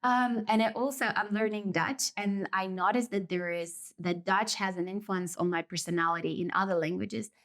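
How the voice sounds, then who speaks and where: A woman's voice: medium pitch, fairly even intonation, fairly bright tone. Thoughtful Russian-accented woman hosting a podcast. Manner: thoughtful, measured, self-correcting. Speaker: podcast host. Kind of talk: reflective solo podcast monologue.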